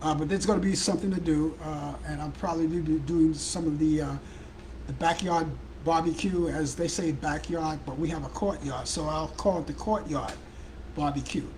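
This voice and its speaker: A man from Brooklyn with a Brooklyn accent hosting a live talk show, chatting casually in a hoarse, worn-out voice. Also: fairly high pitch, normal speed, a little mellow, a little hiss or hum, low-quality recording. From Brooklyn, New York. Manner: rambling, chatty, addresses viewers and callers by name.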